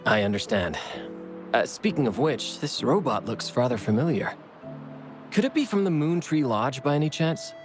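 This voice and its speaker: An easygoing young male researcher from a video game, voiced by a man, with very animated intonation. He is polite, inquisitive and a little evasive, musing casually over music. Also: medium pitch, normal speed, close to an everyday voice.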